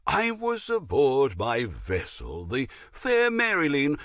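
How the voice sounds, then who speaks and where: A man's voice: medium pitch, fairly bright tone, no room sound, studio quality, heavily acted. A man playing a middle-aged, pompous, scheming naval captain and shady fence in a video game, theatrical and unctuous as he savors his words. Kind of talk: video game character dialogue.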